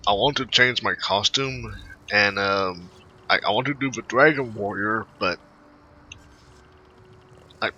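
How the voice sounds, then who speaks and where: Halting man, bright forceful voice, muffled mic. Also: lowish voice, slightly rough. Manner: halting, rambling. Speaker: gameplay video host. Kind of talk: casual game commentary. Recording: muffled home mic with faint noise.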